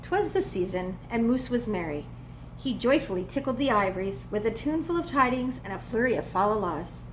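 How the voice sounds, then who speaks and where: A woman reading a children's storybook aloud as a preschool teacher would, with expressive, playful emphasis. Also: on the high side, brisk, a little hiss or hum, poor audio. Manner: dramatic, playful emphasis on repeated words.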